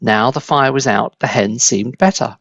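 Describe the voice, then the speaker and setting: A man narrating a children's book as an expressive storyteller, in a slightly dull, close recording. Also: mid-range voice, normal speed, creaky, no room sound.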